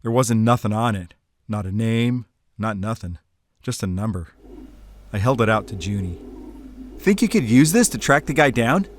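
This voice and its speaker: A man acting as a wisecracking, excitable hardboiled detective type in a noir audio drama, with slangy tough-guy talk. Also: fairly low pitch, moderate pace, pauses often, no echo, lightly acted. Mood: businesslike. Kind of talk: hardboiled noir radio-play narration and dialogue.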